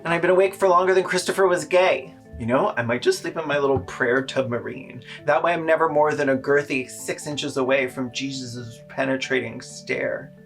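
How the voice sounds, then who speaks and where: Someone doing a self-pitying super devout straight guy, deadpan, bright voice, comedy skit. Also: mid-range voice, moderate pace, hardly any pauses, faint music behind, lightly acted. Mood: mock weary. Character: absurd, self-pitying devout 'straight' man, comic parody.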